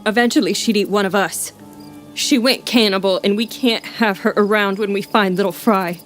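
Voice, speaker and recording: A woman's voice: medium pitch, moderate pace, close to an everyday voice. An actress playing a desperate, hard-edged woman on the run in an apocalyptic audio drama. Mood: cold, accusing. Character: June, hard, desperate, starving runaway woman in denial. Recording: live-streamed audio play with music bed.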